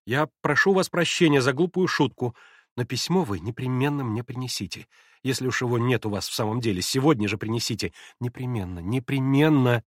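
A man reading aloud, voicing an excitable, imperious young invalid girl who gives urgent commands. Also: mid-range voice, a little fast, no echo, studio quality, close to an everyday voice.

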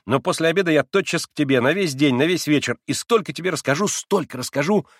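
A man's voice: on the high side, expressive, fast, a bit bright, dry recording, studio quality, pitched above the performer's own voice. A man voicing a proud, hot-headed teenage boy who talks brashly and emphatically.

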